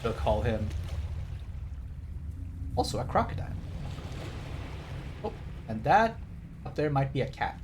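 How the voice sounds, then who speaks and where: A man's voice: medium pitch, big pitch swings, a little slow, long pauses, noisy background. A man giving casual livestream game commentary, digressive and wry as he explains trivia. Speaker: game streamer.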